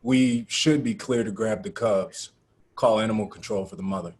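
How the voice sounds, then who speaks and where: A low-pitched male activist, played by a man at a table read. He is careful and practical, talking like someone planning a rescue. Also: moderate pace, a little mellow, natural voice. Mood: businesslike. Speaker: actor in a screenplay table read.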